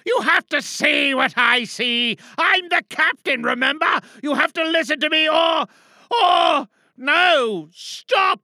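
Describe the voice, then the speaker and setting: A stern female space captain played by an amateur actress, gripped by paranoia. Her high voice is piercing and forceful as she commands and pleads in slow, weighty phrases, like a desperate leader in an audio drama monologue. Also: animated, no echo, studio quality, heavily acted. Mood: desperate, pleading. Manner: pleading, commanding, theatrical outbursts.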